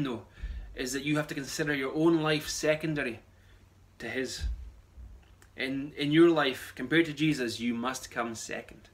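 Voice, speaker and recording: Bright-voiced man preaching, higher pitched. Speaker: pastor. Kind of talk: sermon, conversational address to camera. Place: small bright room at home. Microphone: phone a short distance away.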